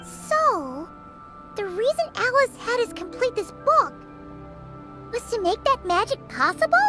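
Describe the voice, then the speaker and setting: A tiny floating fairy companion from a video game, her voice perched extremely high and squeaky. She swoops up and down with lively excitement, always asking questions, and soft music plays under her. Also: a little slow, full character voice. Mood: curious. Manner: excitable, asks lots of questions.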